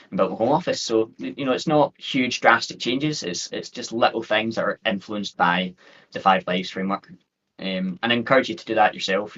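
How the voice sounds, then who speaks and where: A man in his thirties with a thick Scottish accent, giving an upbeat, quick webinar talk full of fillers and restarts. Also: lowish voice, a bit bright. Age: 30s. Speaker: guest speaker. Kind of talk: webinar presentation. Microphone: video call. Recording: dull lo-fi call audio.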